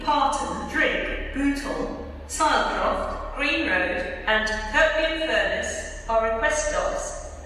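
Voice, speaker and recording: A woman's automated railway announcement voice, with measured, evenly spaced delivery, played over a station PA and recorded from a distance on the platform. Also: fairly high pitch, pushed and bright, a little hiss or hum. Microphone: phone or camera recording a PA speaker from afar. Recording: echoey station PA heard from far off. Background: station ambience.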